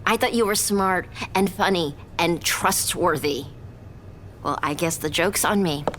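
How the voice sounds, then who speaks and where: A woman's voice: fairly low pitch, unhurried, fairly bright tone, slight background noise. A woman playing a betrayed, blunt young woman in a romantic audio drama, sarcastic and accusing. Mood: bitter, hurt.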